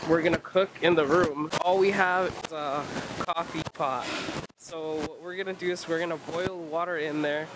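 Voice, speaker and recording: A man's voice: a little high, steady tone, moderate pace, background noise. A man in his thirties vlogging casually into a handheld camera at arm's length, upbeat and chatty, narrating his plans as he moves around outdoors, on a ferry, in a car and in shops. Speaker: travel vlogger. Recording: loud background music.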